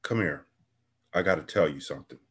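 A man voicing a protective bodyguard who breaks hard news in a quiet, firm way. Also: extremely low pitch, a little fast, husky, voice pushed down, heavily acted. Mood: serious.

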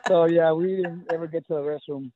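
Brief: fifties South Texas man, Spanish first tongue, somewhat high voice, stop-start chat, thin phone-like sound. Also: fairly even intonation, dry recording. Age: 50s. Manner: halting, many restarts and fillers. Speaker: guest, running coach. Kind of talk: casual interview. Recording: tinny phone-like line.

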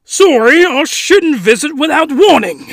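A man's voice: very high voice, big pitch swings, unhurried, fairly bright tone, no room sound, studio quality, voice pushed up, full character voice. A man voicing a polite, flirty younger male coworker in a manga, with an exaggerated fake British accent. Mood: polite, apologetic.

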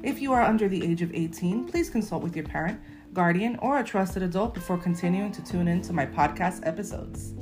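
A woman's voice: on the low side, brisk, darkish tone. Upbeat woman podcast host, echoey room. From Miami, USA. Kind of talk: casual podcast chat. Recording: echoey room with guitar music.

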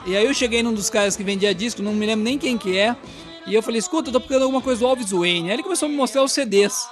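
A Brazilian man co-hosting a podcast, chatting casually with a relaxed, teasing humor. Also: high voice, rapid-fire, soft background music. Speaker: podcast co-host. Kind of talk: casual chat.

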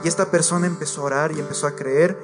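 A man's voice: a little high, fairly even intonation, rapid-fire, over music. A man preaching a sermon to a congregation, earnest and direct, repeating his points and addressing the listeners as believers.

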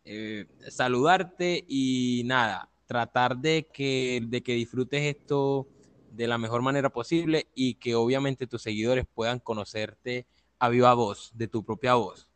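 A man's voice: medium pitch, a little slow, smooth. A man hosting an interview, speaking politely and wordily and repeating his phrases. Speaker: interview host.

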